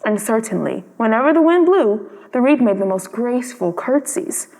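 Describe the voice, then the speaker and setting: A woman reads a story aloud as a theatrical narrator. Her voice is on the high side and swings expressively through each carefully phrased sentence. Long reverb surrounds it, as in a hall. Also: sing-song, normal speed, a little mellow. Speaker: storybook reader.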